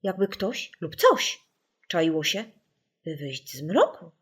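Female children's audiobook narrator: suspenseful and theatrical, unhurried, very expressive pitch. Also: breathy edge. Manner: suspenseful, theatrical, deliberate pauses. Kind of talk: dramatic scripted children's story narration.

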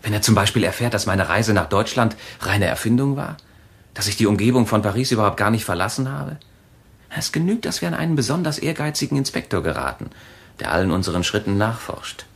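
A man's voice: fairly low pitch, expressive, fairly quick, fairly bright tone, slightly rough, full character voice. A man playing a nervous, cautious secret lover in a German radio thriller, reasoning urgently and hesitantly. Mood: worried, cautious.

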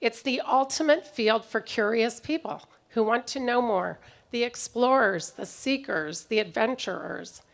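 A middle-aged woman giving a prepared commencement speech at an outdoor podium microphone, in a measured, reflective way. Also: a little high, vocal fry. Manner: measured, reflective delivery reading from notes. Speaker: commencement speaker. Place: outdoor ceremony stage.

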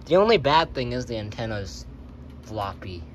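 A man's voice: medium pitch, big pitch swings, moderate pace, dull audio, a little hiss or hum. A laconic man chatting casually as he reviews a gadget, recorded indoors on a handheld camera. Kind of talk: casual chat. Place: small carpeted room indoors.

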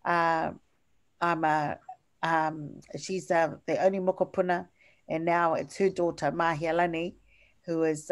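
Older kiwi woman, lower voice, lecturing over slides on a video call, lots of ums and restarts. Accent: New Zealand. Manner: halting, many fillers and restarts. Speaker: professor giving a lecture.

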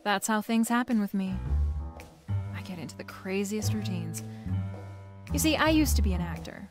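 A woman's voice: mid-range voice, animated, moderate pace, over music, natural voice. A woman playing a wisecracking, flirtatious private eye, narrating a noir radio drama in a wry, playful way.

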